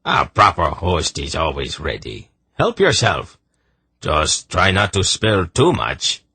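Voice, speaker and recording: A man voicing a wry, gruff old man who talks dryly and curtly. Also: low-pitched, wildly swooping pitch, slow, sharp tone, dry recording, heavily acted.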